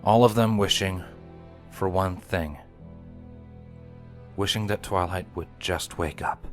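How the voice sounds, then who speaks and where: A man's voice: deep voice, slow, faint music behind. A man giving a scripted narration of a story as its reader. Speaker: fan fiction narrator and channel host.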